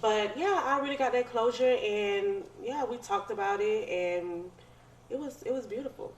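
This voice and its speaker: A woman's voice: fairly high pitch, normal speed. An American woman in her thirties telling personal stories in a casual, conversational way full of slang. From United States. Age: 30s. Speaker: podcast guest. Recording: roomy echo, clear background noise.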